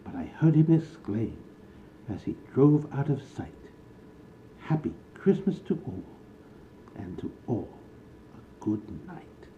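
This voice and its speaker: A man playing an elderly, cheerful, doting Uncle Scrooge, reading a Christmas storybook poem in an animated, theatrical way. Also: mid-range voice. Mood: wondering, merry. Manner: animated, dramatic, halting and fussy when chatting. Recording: dull lo-fi recording with faint background noise.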